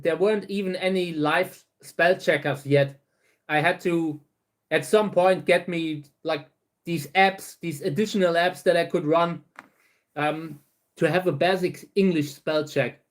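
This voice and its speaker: A man hosts a relaxed livestream and chats with his viewers. His voice sits fairly high for a man. He rambles along at an easy pace, often restarting words. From Germany. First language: German. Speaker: host of a livestream channel. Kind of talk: casual livestream chat, answering viewers.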